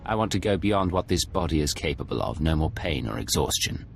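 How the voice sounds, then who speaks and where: An actor playing a guarded secret agent in a video game, speaking in a terse, controlled way. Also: male voice, rumbling low, expressive, brisk, gravelly, slight background noise, heavily acted. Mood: grave, resolute.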